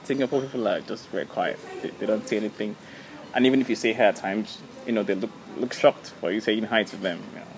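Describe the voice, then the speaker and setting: A man's voice: lowish voice, quick pace, a little hiss or hum. A young man from Nigeria, a university student, answering an interview with frequent repeats. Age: young adult. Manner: repeats words, says you know.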